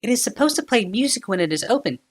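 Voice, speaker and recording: A male narrator voicing a haughty young princess who speaks sweetly but curtly, in a high, slow voice. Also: very animated, slightly rough, full character voice.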